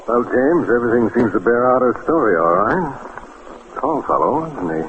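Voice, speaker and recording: An actor playing a shrewd, self-assured defense attorney in an old-time radio detective drama, speaking with wry, commanding polish. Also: male voice, mid-range voice, expressive, normal speed, lightly acted. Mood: wry, unruffled. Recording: muffled, noisy old radio recording.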